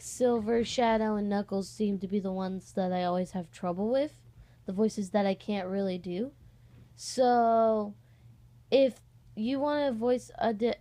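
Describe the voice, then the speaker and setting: A young woman with a fairly high voice speaks in an informal vlog style. She rambles along hesitantly, stopping to correct herself and picking the thought back up as she asks her followers to take part. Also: darkish tone. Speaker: online voice-acting channel host. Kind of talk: casual vlog, audition call.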